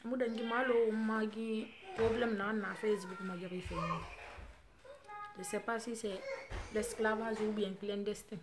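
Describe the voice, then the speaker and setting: A woman from Guinea with a Guinean French accent, Susu her first language, talking to her fans in an impassioned vlog, her voice often rising into loud, forceful outbursts. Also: mid-range voice, steady tone, normal speed, noisy background. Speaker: vlogger addressing her fans. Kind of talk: impassioned monologue to camera.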